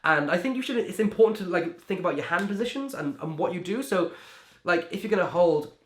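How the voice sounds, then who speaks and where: A man's voice: sits high, lively intonation, a little fast. A young man from Wales, a magician, answering interview questions in a chatty way, full of "like" and restarts. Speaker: magician and creator. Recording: echoey room.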